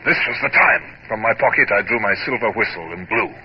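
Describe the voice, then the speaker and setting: An actor playing a commanding, exacting naval captain, narrating formally and precisely in an old-time radio drama. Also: male voice, on the low side, lively intonation, fairly quick, natural voice. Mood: grave, dramatic. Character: commanding, exacting British naval captain recounting his battles. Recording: dull, very noisy vintage radio recording.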